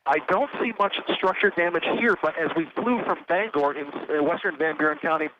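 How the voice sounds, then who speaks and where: Over a muffled, clearly noisy phone link, a man reports live from an aircraft. His voice is fairly high, and he describes what he sees as an eyewitness meteorologist. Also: a little fast. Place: aboard an aircraft in flight.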